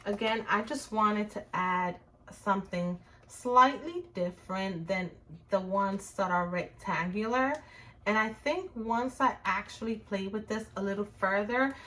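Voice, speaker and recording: A woman's voice: medium pitch, pushed and bright. A middle-aged woman with a Puerto Rican accent, hosting a casual crafting tutorial and chatting to viewers about her work as she goes. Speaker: crafts channel host.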